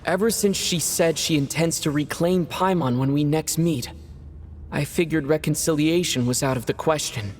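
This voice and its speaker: A man playing an earnest young hero, slightly high. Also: moderate pace, darkish tone, slight background noise, lightly acted. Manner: polite, earnest. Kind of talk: video game voice acting. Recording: reverberant game cutscene with music.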